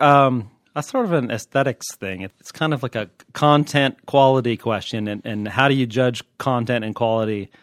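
A man's voice: medium pitch, fairly bright tone, no echo, clean studio sound. An American man hosting a podcast, answering listener questions in casual, unscripted chat with a wry, joking manner. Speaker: podcast host and TV critic.

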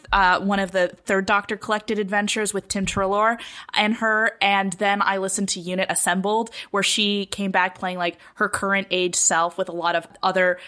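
A woman's voice: medium pitch, fairly bright tone. A woman co-hosting a casual panel chat, talking in an emphatic, conversational way. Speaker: podcast co-host.

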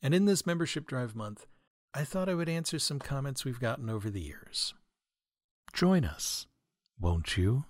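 A man delivers a wry comic monologue as a podcast host. His pitch is middling but moves around a lot, giving mock-grandiose flourishes to an otherwise deadpan manner. The sound is dry and close on the microphone. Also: normal speed, no echo. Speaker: podcast host, writer and audio editor. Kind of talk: wry comedic monologue, answering listener mail.

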